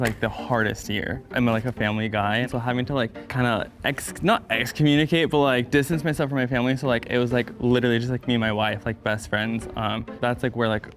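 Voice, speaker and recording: Fast, rambling young husband. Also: male voice, mid-range voice. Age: 30s. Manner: rambling, filler-heavy. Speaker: panel contestant. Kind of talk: casual chat.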